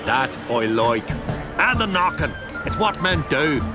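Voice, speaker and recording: A boisterous fantasy game warlord with a high, wildly animated voice. He is rough, blunt and folksy, a born brawler who taunts and booms. Loud music plays underneath. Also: unhurried. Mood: hearty, boisterous. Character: brash, boisterous island jarl, foul-mouthed brawler. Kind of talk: video game character acting.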